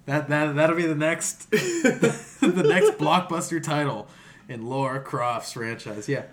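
A man's voice: on the high side, very animated, takes it slowly. A man co-hosting a podcast in casual, irreverent, profanity-sprinkled chat about games. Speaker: podcast co-host.

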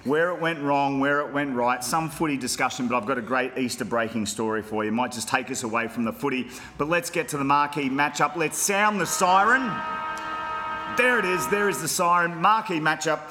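A man's voice: fairly high pitch, a little fast, sharp tone, soft background music. An Australian man hosting a bantering sports podcast in a teasing, mock-formal manner. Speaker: podcast host.

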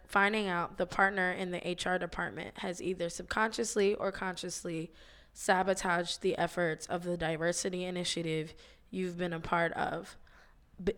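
A thirty-year-old woman with a hoarse voice, co-hosting a podcast in relaxed, slangy chat with frequent ums. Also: fairly low pitch. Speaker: podcast co-host. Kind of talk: casual chat.

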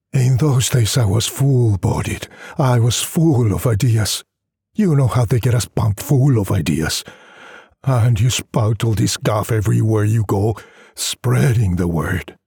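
Male narrator, literary fiction. Very raspy, unhurried, deliberate, dramatic. Close, dry recording. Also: fairly low pitch, warm tone, dry recording. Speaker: narrator, story reader.

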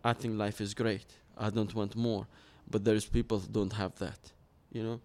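A man's voice: on the low side, little pitch movement, moderate pace, darkish tone. Earnest man telling his story. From Iraq. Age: 30s. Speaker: interview guest. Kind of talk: personal storytelling in an interview.

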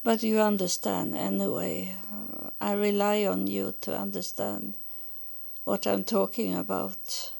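A woman from Sweden with a Swedish accent, speaking English as a second language in a halting spiritual podcast monologue, searching for words. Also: mid-range voice, little pitch movement, gravelly, a little mellow. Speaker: podcast host relaying spiritual messages.

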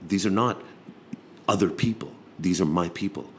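Deep-voiced impassioned man. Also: normal speed, darkish tone. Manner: impassioned, sardonic, repeats words when emphatic. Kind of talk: casual political discussion. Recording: faint background noise.

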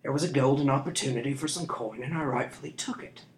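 A man's voice: mid-range voice, quick pace, a little hiss or hum, natural voice. A man voicing a harsh, scarred thief who is menacing but cowardly, with a sneering drawl, in a roomy room. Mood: sneering menace. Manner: sneering, rough-edged drawl. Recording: roomy echo.

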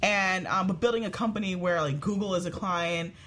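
A woman's voice: lowish voice, fairly quick, fairly bright tone, slight background noise. A woman guest on a talk show, telling stories in a casual, candid way. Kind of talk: casual conversational storytelling.

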